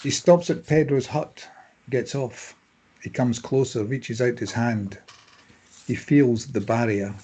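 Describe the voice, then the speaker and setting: A man reads scripted stage directions in measured, clipped phrases. His voice is dark and mellow with a husky grain, at an average male pitch. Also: rough recording. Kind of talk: scripted narration of screenplay directions.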